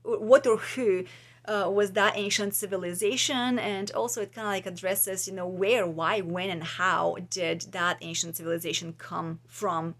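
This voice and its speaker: A woman's voice: medium pitch, fairly even intonation, normal speed, a bit bright. Woman, musing and hesitant. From Bulgaria. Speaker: vlogger and podcast host; trauma practitioner. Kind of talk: casual, reflective talk to viewers.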